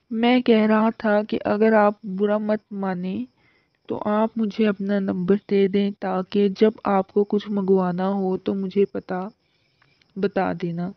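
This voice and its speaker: A woman plays a sly, lovestruck male crow from a cartoon story. The crow talks slowly and coaxingly, eager to please. The sound is echoey and muffled. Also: mid-range voice. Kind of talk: Hindi cartoon story dialogue. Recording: muffled lo-fi cartoon audio with echo.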